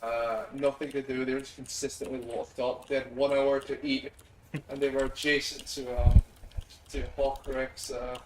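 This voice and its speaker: A man's voice: mid-range voice, flat delivery, normal speed, far from the mic, a little hiss or hum. Rambling man, off-mic in a roomy space. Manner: rambling storyteller, dark humor, lots of "like". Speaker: friend co-playing on stream. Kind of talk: casual chat during gameplay. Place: shared room at a home gaming gathering.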